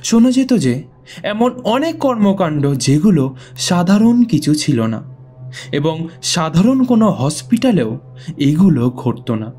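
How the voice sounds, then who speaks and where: High, animated male storyteller. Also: moderate pace, breathy edge. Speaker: horror story narrator. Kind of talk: dramatic scripted ghost story narration. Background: background music.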